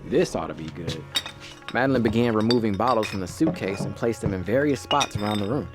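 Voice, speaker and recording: A man's voice: on the low side, expressive, a little fast, close to an everyday voice. A man playing the ghost of a male cat, telling his own story in a wry, folksy way. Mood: wry storytelling. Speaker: narrator. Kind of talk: first-person scripted storytelling. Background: music.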